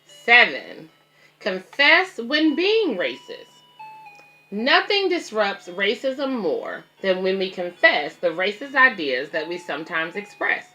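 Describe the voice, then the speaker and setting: Bright-voiced woman reading aloud to children. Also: mid-range voice, sing-song, slow pace, low-quality recording. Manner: explains simply, addressing young children and parents. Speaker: babysitter and children's story reader. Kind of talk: read-aloud picture book with casual asides to viewers.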